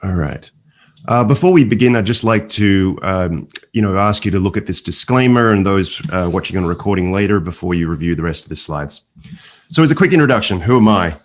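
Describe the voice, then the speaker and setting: A man with an Australian accent speaks in a deep, low register. He is presenting to a conference remotely over a video call, walking listeners through his material as an invited speaker. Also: expressive, a little fast. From Malaysia, later Australia. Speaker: investment fund founder and guest speaker.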